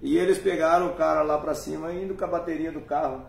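A man hosting a live music stream, warmly thanking viewers by name in a casual, chatty way. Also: a little high, fairly even intonation, fast talker, creaky. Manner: warm, chatty, reads out viewer names and thanks them. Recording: echoey room from far off, faint noise.

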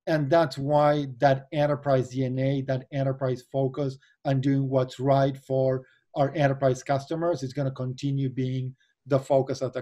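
Mellow-voiced Argentine man on a video call. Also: medium pitch. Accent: Argentine Spanish. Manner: earnest, explanatory, gesturing with his hands. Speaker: venture capital investor guest. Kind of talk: remote video interview.

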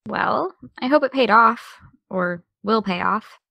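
A woman playing a determined, sardonic teenage podcaster in an audio drama, with a dry, brisk delivery. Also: fairly high pitch, expressive, vocal fry, bright, forceful tone, dry recording, full character voice. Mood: reassuring, confident. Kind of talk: naturalistic audio drama dialogue.

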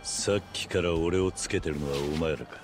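A man voicing a stoic, gruff middle-aged ex-yakuza in a video game cutscene, speaking in terse, stern phrases. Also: low voice, normal speed, over music, lightly acted. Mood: suspicious, blunt.

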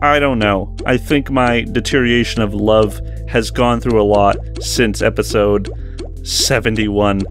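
A man's voice: fairly low pitch, fairly even intonation, measured pace, soft background music. A young man giving candid, rambling, self-deprecating commentary over old game footage, recorded at a desk mic in a small bedroom studio. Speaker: gaming channel host. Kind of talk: post-recorded gameplay commentary.

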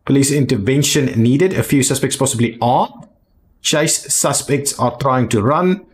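A man giving casual video game commentary, thinking aloud in a halting way as he plays. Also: mid-range voice. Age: adult. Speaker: gaming video host.

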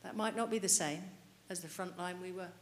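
A middle-aged woman delivers a sermon at a church lectern, her voice low and steady. She earnestly puts questions to the listeners. A long church reverb and faint hiss sit behind her. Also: a little fast. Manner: earnest, asks the congregation questions. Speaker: preacher. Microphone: lectern mic.

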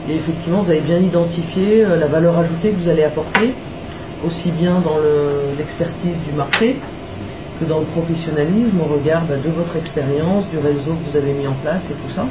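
A woman's voice: sits low, brisk, noisy background. A woman on a jury giving polite formal feedback on a thesis, hesitating often with fillers. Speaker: jury member. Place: office meeting room.

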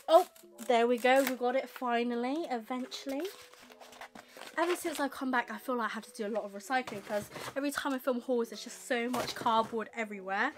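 A young woman with a slightly husky voice from a tickly throat, rambling casually through a manga haul in her bedroom. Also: on the high side, slight background noise. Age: young adult. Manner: rambling, chatty, quick asides. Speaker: manga vlogger. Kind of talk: casual chatty haul vlog. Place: bedroom with manga shelves, indoors.

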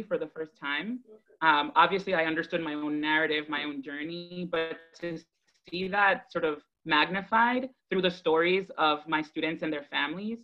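A woman's voice: deep voice, bright, forceful tone. Earnest woman on a muffled video call. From Dominican Republic, raised in Boston from age five. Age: 30s. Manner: earnest, measured, thoughtful with deliberate pauses. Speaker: guest speaker, education advocate. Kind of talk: reflective personal storytelling to an online audience. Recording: dull, lo-fi video call audio.

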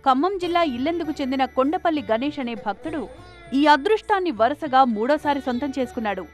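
A woman's voice: high voice, lively intonation, fairly quick. A woman reading scripted news narration as a reporter. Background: background music.

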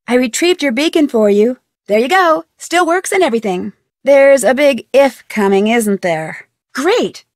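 A woman's voice: high-pitched, no room sound, studio quality. A woman playing an inquisitive, persistent young amateur detective in a video game, speaking in a polite, earnest way. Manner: polite, earnest, crisply questioning. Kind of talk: video game dialogue voice acting.

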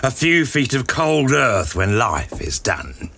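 An actor playing a morose, malicious gravedigger in an audio drama, muttering with grim, gloating relish. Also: male voice, medium pitch, big pitch swings, takes it slowly, vocal fry, sharp tone, husky, exaggerated voice. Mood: grim satisfaction. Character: morose, malicious, drunken old gravedigger who hates merriment.

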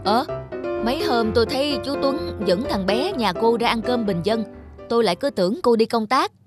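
A woman's voice: a little high, expressive, normal speed, close to an everyday voice. Cartoon casting: woman to play a talkative, gossiping neighbour wife, with strong background music. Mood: chatty. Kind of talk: animated drama dubbing.

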